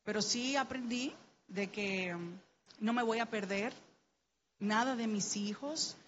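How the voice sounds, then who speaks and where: A woman's voice: a little high, takes it slowly, fairly bright tone, highs cut off, a little hiss or hum. A middle-aged Dominican woman with a Dominican Spanish accent, speaking on a panel, sharing a personal story in an earnest, heartfelt way and urging the listeners to act. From Dominican Republic. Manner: earnest, heartfelt, grows urgent and exhorting. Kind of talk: personal testimony in a panel talk.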